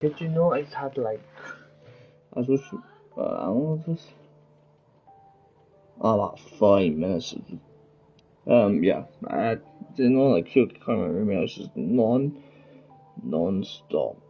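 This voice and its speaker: A gamer giving casual commentary while playing, rambling and halting with many restarts. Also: medium pitch, sing-song, lo-fi sound. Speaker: gamer playing through a story quest. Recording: gameplay capture with faint game music.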